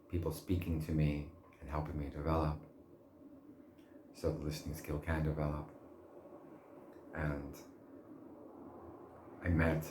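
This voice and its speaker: A man with a very deep, flat voice talks slowly and deliberately in a casual vlog monologue. He pauses often and laughs softly now and then. The sound is roomy, with a faint hum behind him. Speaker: language learner vlogger.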